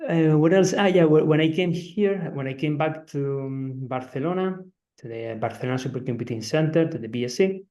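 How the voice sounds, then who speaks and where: A man's voice: mid-range voice, a little mellow. A man in his forties from Spain, with a Spanish accent, introducing himself on a webinar panel and often restarting his sentences. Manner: hesitant, many restarts and fillers. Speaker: climate scientist, panelist. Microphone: video call. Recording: slightly dull video-call audio.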